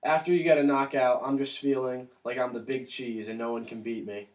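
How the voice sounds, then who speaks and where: Guy playing a cocky old-time boxing champ on 1920s radio, mid pitch. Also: fairly even intonation, fairly quick, distant mic, natural voice, rough recording. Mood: confident. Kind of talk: scripted 1920s radio interview.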